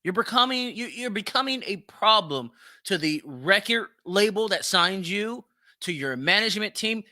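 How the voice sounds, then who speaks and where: A man's voice: high-pitched, lively intonation, normal speed, pushed and bright, dry recording, clean studio sound. A man hosting an opinionated solo commentary show, speaking bluntly and emphatically, swearing freely and repeating phrases for stress. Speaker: podcast host.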